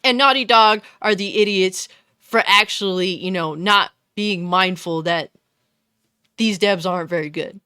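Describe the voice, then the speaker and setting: A woman with a fairly deep voice giving a blunt, scornful, profane commentary rant. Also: a little slow, sharp tone, clear, resonant tone, dry recording, studio quality. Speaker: commentary YouTuber. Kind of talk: ranting commentary.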